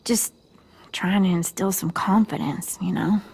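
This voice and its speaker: A woman's voice: mid-range voice, steady tone, unhurried, darkish tone, natural voice, poor audio. A woman voicing an eager, encouraging teenage girl in a video game, talking casually as if thinking aloud. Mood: thoughtful, upbeat. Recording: faint background noise, night crickets.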